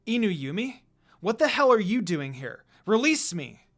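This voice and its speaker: A man's voice: high voice, big pitch swings, a little slow, voice pushed up, heavily acted. A man voicing a defiant young priestess held captive, wary and demanding. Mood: indignant.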